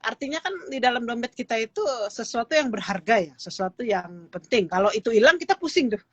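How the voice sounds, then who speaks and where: A woman's voice: fairly high pitch, animated, brisk, husky, no echo. A woman in her thirties, a guest expert, explaining heritage in an interview with many "eh" and "ya" fillers.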